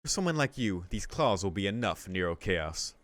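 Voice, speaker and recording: A man voicing a proud young vampire princess in a calm, mocking way, in a fairly low voice. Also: moderate pace, dry recording, clean studio sound. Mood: calm taunting.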